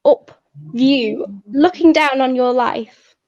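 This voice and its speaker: A woman hosting an event, talking reflectively and informally in a thoughtful, halting way. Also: sits high. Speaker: event host and poet. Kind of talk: reflective informal talk to an audience. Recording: echoey, slightly muffled sound.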